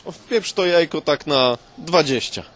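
A man's voice: on the high side, takes it slowly, fairly bright tone, pitched above the performer's own voice. A man voicing a bossy, blunt, mock-pompous restaurant owner from ancient Babylon in a comic tale. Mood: gruff, annoyed.

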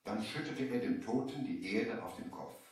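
An actor playing a merchant who narrates his sea adventure in measured storybook style, in a 1970s German audio play. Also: mid-range voice, expressive, darkish tone, natural voice. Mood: narrating. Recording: strong echo, distant from the mic.

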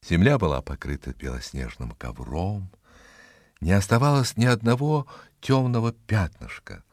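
A man narrating a fairy tale expressively, in a measured, dramatic way that savours the words. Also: low-pitched, darkish tone, no room sound, studio quality. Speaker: storyteller, narrator.

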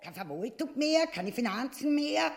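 An actress playing a tyrant's scornful, nagging wife with an Austrian accent, mocking and scolding in a radio play. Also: female voice, sits high, animated, normal speed, creaky. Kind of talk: theatrical radio play acting. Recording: long hall reverb.

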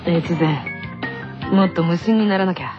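A woman playing a calm, gentle teenage boy tennis player coaching a junior in a radio drama. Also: on the low side, a little slow, natural voice. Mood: gentle, instructive. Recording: dull lo-fi radio, loud music.

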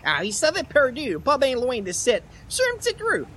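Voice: sits high, wildly swooping pitch, normal speed, fairly bright tone, a little hiss or hum, heavily acted. An actor voicing a friendly, slangy repairman who mixes English into Quebec French, in an old-time radio comedy. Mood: friendly, casual. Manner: colloquial, franglais, slangy.

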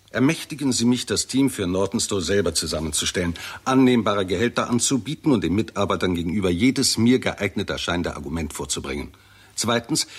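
An actor playing a blunt, sardonic, self-assured scientist in a 1960s radio play, with a dry, assertive way of talking. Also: male voice, lowish voice, breathy edge.

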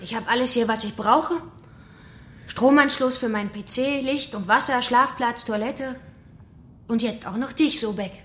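A woman's voice: on the high side, moderate pace, fairly bright tone, close to an everyday voice. An actress playing a menacing female kidnapper in a radio crime drama, taunting her captive in a controlled way. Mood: matter-of-fact menace. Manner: taunting, controlled, familiar address.

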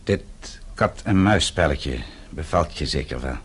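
Older father, radio play, very low husky voice, weary, defiant, curt, restrained. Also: animated, measured pace, full character voice. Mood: weary defiance.

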